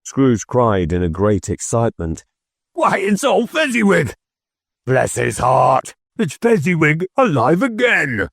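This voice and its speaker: A man voicing an old miserly man, exclaiming excitedly, in an audiobook. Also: sits low, unhurried, no echo, studio quality. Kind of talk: audiobook character voice.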